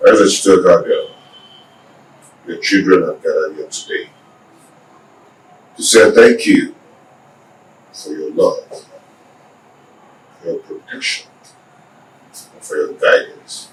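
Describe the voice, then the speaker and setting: A man's voice: low voice, lively intonation, slow pace, pauses often. A man playing a solemn village spokesman, delivering ceremonial oratory. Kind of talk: ceremonial oratory in film drama. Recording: echoey, distant, faint background noise.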